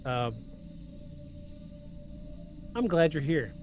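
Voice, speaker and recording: A man's voice: fairly high pitch, very animated, drawn-out words. A man hosting a live podcast from home, effusive and given to repeating himself for emphasis, moving between scripted narration and casual asides. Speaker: podcast host. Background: music.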